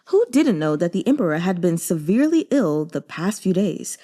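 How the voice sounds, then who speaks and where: A woman reading a fantasy novel aloud as an audiobook narrator, with steady, measured storytelling. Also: lowish voice.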